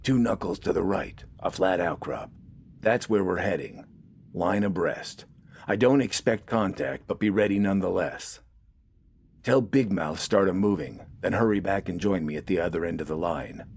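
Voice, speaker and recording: A gruff military corporal, acted by a male narrator, speaks in a low register over clear background rumble. He is bossy and curt, handing out orders with scornful sarcasm in a war audio drama. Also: expressive, normal speed, darkish tone. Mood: terse, ordering.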